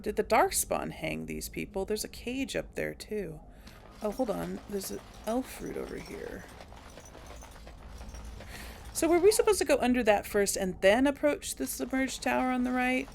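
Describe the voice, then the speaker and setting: A woman's voice: a little high, expressive, moderate pace, creaky, a little mellow, slight background noise. A woman hosting a casual let's play, thinking aloud and chuckling often. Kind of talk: casual gameplay commentary.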